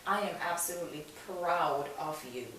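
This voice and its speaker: A woman's voice: on the low side, moderate pace, a bit bright. A woman hosting a talk show, speaking in an encouraging, emphatic, motivational way and often repeating phrases for weight. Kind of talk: conversational motivational interview. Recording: very echoey room, distant mic, hiss.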